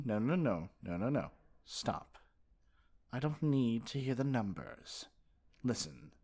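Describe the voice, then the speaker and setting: A man playing a pompous, easily exasperated supervillain in an ASMR roleplay, theatrical and sarcastic with a softer caring side. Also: lowish voice, gravelly, exaggerated voice. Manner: pompous mock-grand monologuing that slips into flustered sarcasm. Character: theatrical gloating male supervillain, exasperated, secretly caring nemesis.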